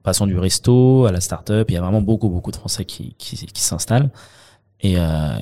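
French male accountant guest, deep mellow voice, halting delivery full of fillers. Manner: hesitant, many fillers and restarts. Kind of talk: conversational interview.

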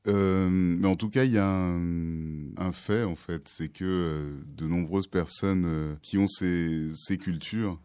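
A man's voice: low-pitched, fairly even intonation, takes it slowly, few pauses, darkish tone, smooth, no echo, clean studio sound. A man, a musician guest on a radio show, answering in a hesitant, thoughtful way with many fillers. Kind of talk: casual interview talk.

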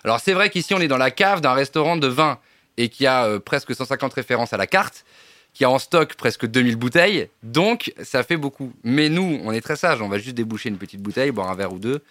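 A young man hosting a relaxed interview in a restaurant wine cellar, chatty and easygoing. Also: medium pitch, a little fast, no room sound. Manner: relaxed, chatty, friendly fillers. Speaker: host. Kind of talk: casual interview over drinks.

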